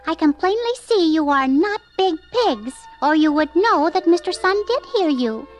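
A very high, chirping mother bluebird from a storybook, played by a woman. She is cheery and briskly wise, with an emphatic singsong delivery that swings up and down. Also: expressive, soft background music. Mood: cheerful, instructive. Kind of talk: children's storybook character voice.

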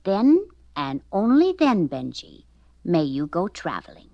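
A woman voicing a loving, gently firm mother bear in a storybook, patient and soothing. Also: on the low side, wildly swooping pitch, slow, dry recording, muffled recording, voice pushed down, full character voice.

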